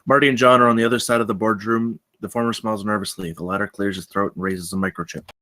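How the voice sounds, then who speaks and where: Low-voiced man narrating a script. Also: steady tone, fast talker, bright, forceful tone. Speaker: stage directions reader. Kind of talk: scripted narration at a film table read.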